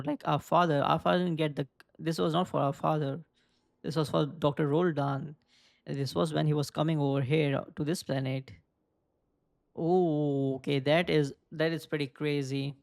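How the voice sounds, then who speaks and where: A man's voice: a little high, steady tone, normal speed, gravelly, dry recording. A young man casually commenting on a video game, thinking aloud and rambling with lots of filler words. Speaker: gaming video creator. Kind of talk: casual let's play commentary.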